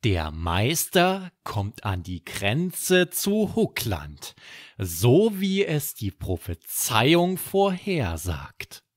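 Prophetic plush creature, acted by a man, solemn, drawn-out delivery, children's audio tale. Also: mid-range voice, wildly swooping pitch, exaggerated voice.

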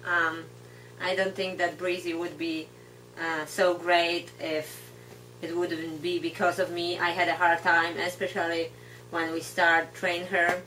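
A woman in her thirties with a Polish accent, reflecting casually in hesitant, halting non-native English. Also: lowish voice, flat delivery, pushed and bright. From Poland. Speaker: guide dog puppy raiser. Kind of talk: casual reflective talk to camera. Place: indoor room at home, seated on floor. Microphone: camera mic a few feet away. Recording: distant camera mic, hum and background noise.

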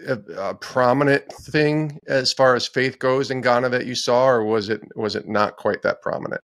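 A man's voice: mid-range voice, steady tone, moderate pace, fairly bright tone. Middle-aged podcast host guy, headphones on, chatty questions, normal mid voice. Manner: friendly, prompting questions with "yeah" and tag questions. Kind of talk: interview questions, conversational. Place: indoors, home studio with posters behind. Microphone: headset or desk mic on a video call, headphones on.

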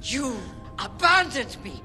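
An actress playing a fierce, superstitious housekeeper, vehement and melodramatic, in a game cutscene. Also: female voice, sits high, big pitch swings, takes it slowly, bright, forceful tone, heavily acted. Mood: accusing, urgent. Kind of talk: dramatic video game cutscene acting. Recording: long reverb, music underneath.

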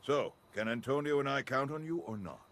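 A man's voice: medium pitch, brisk, very noisy, lightly acted. An actor playing a no-nonsense male chief of a security company in a video game, authoritative, clipped and dry. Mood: brisk, approving. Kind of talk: video game cutscene dialogue.

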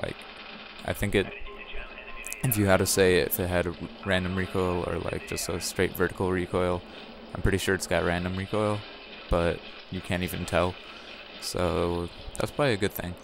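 A young man talks over game footage in a very low, gravelly voice with a slight rasp. He rambles loosely and keeps correcting himself mid-sentence. Music plays clearly behind him. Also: little pitch movement, moderate pace. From Wisconsin, USA. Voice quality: raspy. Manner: rambling, self-correcting, chatty. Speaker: gaming channel host. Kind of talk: casual gameplay commentary.

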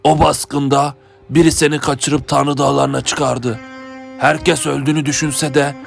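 Male actor as old faithful retainer, very creaky, solemn, earnest, cartoon adventure, background music. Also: mid-range voice, flat delivery, a little slow. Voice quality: gravelly. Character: loyal old retainer revealing the past to young hero. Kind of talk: animated adventure voice acting. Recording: music behind the voice.

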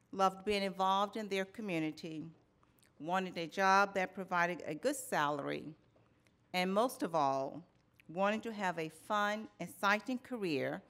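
A middle-aged woman speaks at a conference lectern. Her voice sits slightly low. Her delivery is measured and deliberate, and she builds her points through rhetorical questions and lists. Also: a bit bright. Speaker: keynote speaker. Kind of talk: measured storytelling keynote speech. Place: conference hall in front of a banner backdrop. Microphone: lectern microphone, medium framing.

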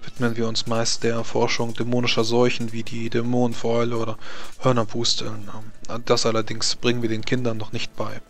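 Kindly, earnest temple healer, male game master acting him out, halting delivery in a fantasy tabletop game. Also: mid-range voice, monotone, lightly acted. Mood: modest. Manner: hesitant, earnest.

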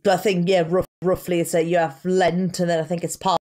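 A woman's voice: fairly low pitch, little pitch movement, a little fast, darkish tone. A woman co-hosting a casual podcast, thinking aloud in a tentative way. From outside Britain. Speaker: podcast co-host.

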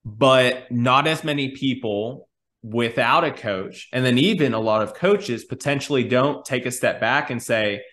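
A man's voice: medium pitch, measured pace, fairly bright tone. Man explaining things on a podcast. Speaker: podcast host, fitness coach. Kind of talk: conversational podcast discussion. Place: indoors, on a video call.

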